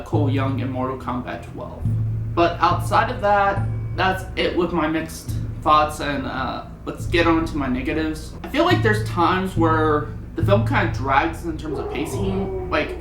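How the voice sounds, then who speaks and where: A person giving a casual, opinionated film review in a rambling, conversational way. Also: mid-range voice. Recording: roomy echo, music underneath.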